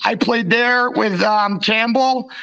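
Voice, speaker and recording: A man's voice: high-pitched, slow pace, creaky, sharp tone, clear, resonant tone. A young man co-hosting a podcast as a former goalie, chatting and telling slangy anecdotes on a dull, lo-fi recording. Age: young adult. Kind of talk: casual chat, anecdotes.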